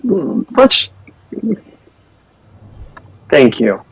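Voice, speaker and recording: A man's voice: medium pitch, huge pitch swings, very slow, husky, no room sound, poor audio. A man playing a tabletop roleplaying game, chatting casually and in character.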